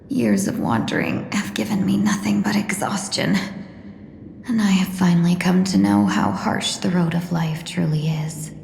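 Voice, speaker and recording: A woman voicing a composed, sly fox-woman diplomat for a video game, speaking in a measured, polite and coolly self-possessed way. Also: fairly low pitch, very animated, darkish tone, in a whisper, full character voice. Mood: weary. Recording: roomy echo under clear background music.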